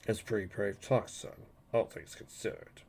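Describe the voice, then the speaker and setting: A man voicing a cool, world-weary mercenary assassin with quiet, deadpan menace. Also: on the low side, moderate pace, creaky, pitched below the performer's own voice.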